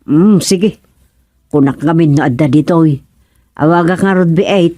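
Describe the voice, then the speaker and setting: Blunt village wife, dry close sound. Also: female voice, sits low, normal speed, warm tone, no room sound. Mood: questioning. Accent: Filipino, Ilocano. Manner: questioning, pointed. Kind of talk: radio comedy drama acting.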